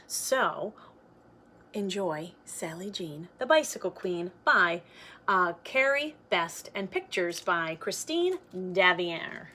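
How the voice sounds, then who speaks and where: A woman reading a children's storybook aloud like a teacher, in an expressive, sing-song way for young listeners. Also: lowish voice, slow, a little hiss or hum.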